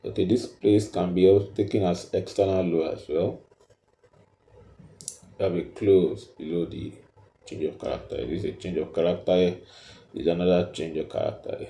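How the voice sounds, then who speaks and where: A man giving a step-by-step tutorial, explaining haltingly and repeating himself as he points things out. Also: low voice, fairly even intonation, mellow, echoey room. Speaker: trading tutor.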